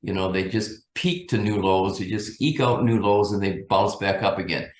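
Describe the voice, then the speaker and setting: A man giving unscripted market commentary as a guest analyst, thinking aloud in a measured, cautious way. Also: sits low, echoey room.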